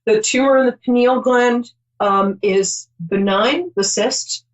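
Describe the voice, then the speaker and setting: A woman's voice: on the high side, takes it slowly, low-quality recording. A middle-aged woman hosting a call-in psychic show, chatty and reassuring, rambling with many ums.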